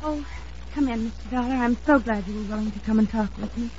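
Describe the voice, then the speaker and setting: An American actress playing a polite, earnest young fiancée in a gentle, hesitant 1950s radio drama style. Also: female voice, a little high, fast talker, close to an everyday voice. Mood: polite, guarded. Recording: dull, noisy old radio recording.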